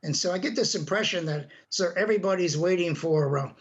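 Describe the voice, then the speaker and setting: An older man giving blunt, emphatic and halting commentary as an academic foreign affairs pundit in a live news interview. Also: sits high, dull audio.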